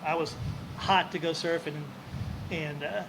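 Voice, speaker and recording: A man in his sixties telling stories as an interview guest, relaxed, rambling and self-deprecating. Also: on the high side, unhurried, vocal fry. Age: 60s. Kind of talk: casual storytelling. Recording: noisy room with air conditioning hum.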